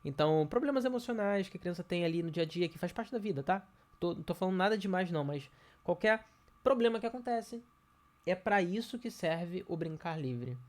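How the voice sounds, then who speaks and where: A man's voice: high-pitched, expressive, fairly quick. A man with a cold and a nasal voice, talking casually as an educator to parents, with many fillers and restarts. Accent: Brazilian Portuguese.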